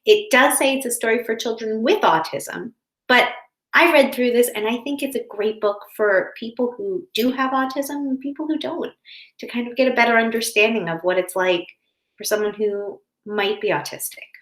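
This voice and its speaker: A woman with a fairly high voice speaks to young children as a school educator would. She keeps her explanations simple and gentle, chatting and reassuring as she goes. The sound is clearly echoey, like a home office with bare walls. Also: moderate pace. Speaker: school educator reading stories to children online.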